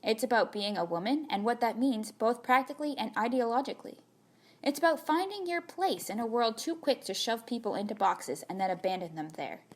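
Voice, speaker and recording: A woman with a slightly high voice reads a scripted, chatty letter aloud. Her pitch moves in lively swings, and she leans on words with a wry, playful, conversational emphasis. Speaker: reader of a magazine piece. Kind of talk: expressive scripted reading of a chatty open letter.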